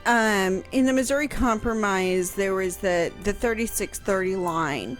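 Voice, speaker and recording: A woman's voice: medium pitch, measured pace. A woman historian, a guest on a radio show, explaining history casually and hesitantly with many ums. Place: radio studio. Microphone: studio microphone. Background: faint music.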